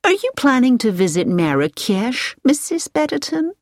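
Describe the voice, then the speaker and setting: A woman's voice: sits high, sing-song, no room sound, clean studio sound. A woman reading as a chatty middle-aged American lady. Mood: friendly. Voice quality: pleasant voice. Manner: slow, inquisitive and sociable.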